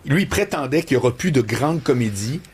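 Quebec guy hosting a chat show, casual, kinda husky voice. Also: mid-range voice, animated, a little fast, darkish tone. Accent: Québécois French.

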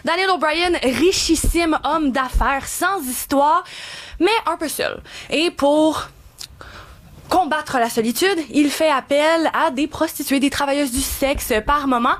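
A woman with a Québécois accent, a guest columnist telling a true crime story in casual, animated talk-show style. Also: high voice, a little slow. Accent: Québécois French.

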